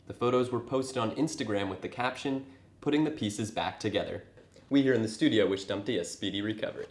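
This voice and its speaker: A man's voice: fairly low pitch, a little fast, close to an everyday voice. A man playing a fairy tale news anchor, reading formally with wry touches. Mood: newsy, wry. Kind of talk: mock TV newscast, scripted. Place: indoor studio set. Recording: echoey room.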